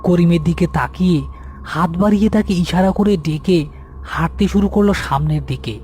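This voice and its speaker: A man's voice: high-pitched, brisk, darkish tone, soft background music. A man reading a scripted horror story as its narrator.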